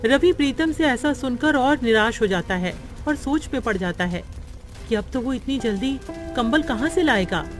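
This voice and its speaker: Female storybook narrator for cartoon, higher register, light music bed. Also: lively intonation, normal speed.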